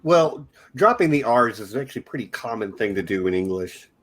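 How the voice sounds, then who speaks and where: A chatty man from Texas with a Texan accent, hosting a relaxed show discussion. His voice sits at a middle pitch, and he talks loosely, restarting sentences as he goes. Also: a little fast. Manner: chatty, self-correcting. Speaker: podcast host. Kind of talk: casual discussion.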